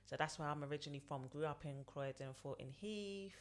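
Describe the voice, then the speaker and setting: A woman's voice: low voice, fairly quick. A woman, answering interview questions in a loose, rambling way with many fillers and repeats. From London, England. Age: 30s. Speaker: podcast guest.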